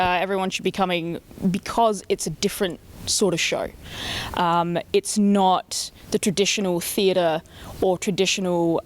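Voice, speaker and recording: A woman, a voice actor speaking slowly with many fillers in an interview. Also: medium pitch, slight background noise. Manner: slow, full of fillers. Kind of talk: casual interview.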